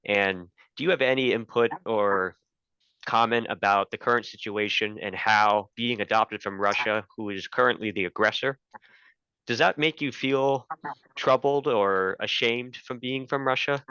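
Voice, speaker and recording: A man hosts a conversational interview podcast. His voice is fairly low, bright and forceful, with crisp articulation. The recording is dry and a little dull. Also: unhurried, no room sound. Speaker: podcast host.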